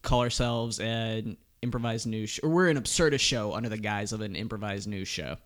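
A man's voice: fairly low pitch, brisk, a bit bright. A young man, an improv performer guest, joking and upbeat in casual chat.

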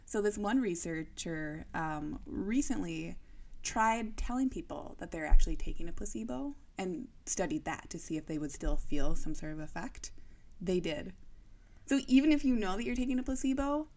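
A woman's voice: fairly low pitch, lively intonation, normal speed, gravelly, a little mellow, low-quality recording. A young adult woman co-hosting a podcast, explaining ideas in a casual, chatty way with lots of fillers and restarts. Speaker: podcast co-host. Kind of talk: casual chat.

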